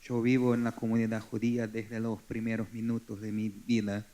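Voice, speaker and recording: A man in his forties from Brazil with a Brazilian Portuguese accent, Portuguese his first language, speaking haltingly as a forum panelist. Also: fairly low pitch, monotone, dark tone. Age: 40s. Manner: halting, searching for words. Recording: echoey conference hall.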